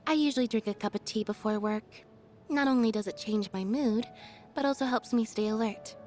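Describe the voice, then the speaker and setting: A woman voicing an aloof, quiet young female magician's assistant in a video game, deadpan, reserved and understated. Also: on the high side, natural voice. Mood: composed. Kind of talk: video game character voice lines.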